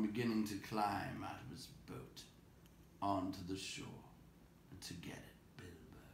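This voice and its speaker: A man narrating a storybook aloud in an expressive read-aloud style. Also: lowish voice. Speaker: storybook reader. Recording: echoey room with faint background noise.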